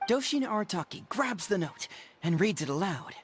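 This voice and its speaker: A woman voicing a formal, measured storytelling narrator of a staged play in a video game, slightly wry. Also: medium pitch, very animated, faint music behind, close to an everyday voice.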